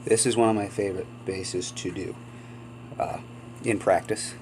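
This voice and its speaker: A man's voice: fairly low pitch, fairly even intonation, moderate pace, darkish tone, slight background noise. A man hosting a gaming channel, sniffly from a cold, chatting casually and rambling with many ums and apologies. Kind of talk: casual gameplay commentary.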